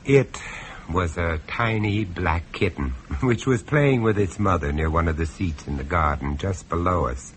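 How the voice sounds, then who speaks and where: A man's voice: deep voice, animated, a little slow. An actor playing an urbane, sardonic horror star narrating his tale in a radio play. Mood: storytelling. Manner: sardonic, droll, polished. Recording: noisy radio drama recording.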